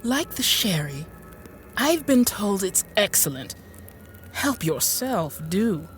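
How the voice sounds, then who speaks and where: Slow, genteel infirm woman inventor. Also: mid-range voice, sing-song, soft background music. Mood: polite, hesitant. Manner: hesitant, courteous, formal old-fashioned phrasing. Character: genteel, infirm middle-aged woman inventor, politely apologetic and bookish. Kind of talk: radio drama monologue and dialogue.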